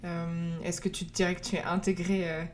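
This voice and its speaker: A woman's voice: on the low side, little pitch movement, brisk, a little hiss or hum. A woman, hosting a podcast and asking questions in a casual, conversational style. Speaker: podcast host, interviewer.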